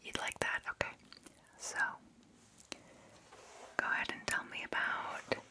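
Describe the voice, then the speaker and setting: A woman in her twenties speaking in a soft, unhurried whisper, gently soothing like a therapist in an ASMR roleplay, close to the microphone. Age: 20s. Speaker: ASMR performer playing a therapist. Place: small cozy indoor room. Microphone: close ASMR microphone, just off camera.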